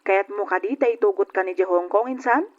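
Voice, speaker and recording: A woman's voice: mid-range voice, a little fast, natural voice. A woman acting a generous, outspoken cousin in an old radio drama, frank and persuasive in her talk. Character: generous, outspoken female cousin back from abroad. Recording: dull lo-fi old radio recording.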